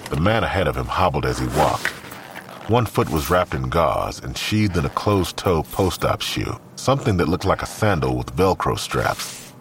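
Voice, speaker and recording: A man's voice: a bass voice, sing-song, moderate pace, slight background noise. A man narrating a scripted audio drama. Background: music.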